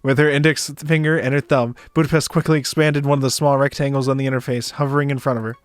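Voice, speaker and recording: A man's voice: medium pitch, little pitch movement, quick pace, smooth, no echo, faint music behind. A man narrating a visual novel in the first person on a stream, with casual asides.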